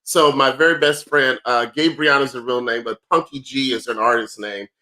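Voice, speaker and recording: A man in his thirties, a comedian guest on a video call, chatting with exuberant, theatrical bursts of loud exclamations. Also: medium pitch, steady tone, normal speed. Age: 30s. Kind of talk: excited casual chat.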